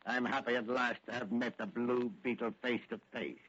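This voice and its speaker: An actor playing a cold, sardonic, commanding racketeer chief in a 1940s radio crime serial. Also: male voice, mid-range voice, fairly even intonation, moderate pace, a bit bright, husky. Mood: gloating menace. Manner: mock-polite, commanding, theatrical. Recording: muffled old 1940 radio recording.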